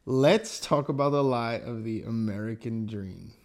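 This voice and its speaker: Young man, podcast co-host, blunt, impassioned, restarts phrases, mid-pitched voice. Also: measured pace, darkish tone. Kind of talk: casual faith podcast chat.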